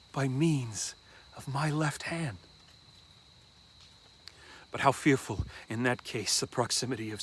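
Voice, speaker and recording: Slow-paced man reading gothic horror. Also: a little high, a bit bright. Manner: deliberate, with dramatic pauses. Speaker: reader of a classic horror story. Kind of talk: dramatic literary narration, first-person horror reading.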